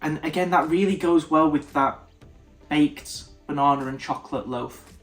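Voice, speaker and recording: Британец с заложенным носом. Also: male voice, fairly high pitch, normal speed, soft background music. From United Kingdom. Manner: thoughtful, stops and restarts mid-sentence. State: nasal, congested. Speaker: whisky reviewer. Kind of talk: casual tasting review to camera.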